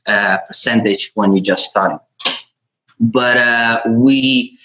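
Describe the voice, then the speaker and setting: A man from Latvia gives conversational interview answers as a podcast guest, haltingly and often repeating words. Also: mid-range voice, fairly bright tone. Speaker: podcast guest, e-commerce entrepreneur. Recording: dull, lo-fi audio.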